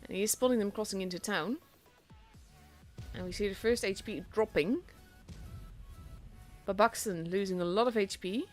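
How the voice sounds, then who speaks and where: A young Dutch woman, a native Dutch speaker, casting a tank game solo in a chatty, self-deprecating way that grows excited at big plays. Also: medium pitch, normal speed, pauses often, soft background music. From Netherlands. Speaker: esports caster.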